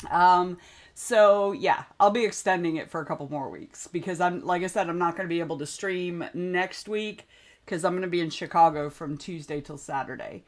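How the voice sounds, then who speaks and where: A woman hosting a casual writing livestream, chatting and greeting viewers by name. Her voice is a little hoarse and wobbly, and she keeps clearing her throat. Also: lowish voice, moderate pace. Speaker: livestream host. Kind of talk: casual chat on a writing stream.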